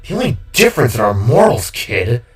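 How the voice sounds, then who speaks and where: A man voicing a defeated villain king in an audio drama, bitter and gleefully unhinged, with a groggy, drawn-out, rambling delivery. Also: medium pitch, very animated, distant mic, natural voice. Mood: hissing. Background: music.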